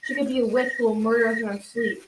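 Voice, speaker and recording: A woman's voice: a little high, fairly even intonation, quick pace, close to an everyday voice. A young female amateur playing a suspicious, scornful brother in a radio drama. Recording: echoey room, distant mic, loud noise.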